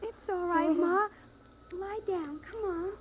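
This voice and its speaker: A woman's voice: very high voice, takes it slowly, a crowd in the background. A woman playing a scared young girl in a 1950s radio drama, on an old recording. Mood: soothing, hushed. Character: scared young girl, the protective little sister. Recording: noisy, thin, phone-like vintage radio recording.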